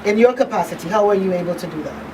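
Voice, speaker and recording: A woman's voice: medium pitch, racing. A woman hosting a conversational call-in radio interview. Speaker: radio talk show host. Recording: distant mic, clearly noisy background.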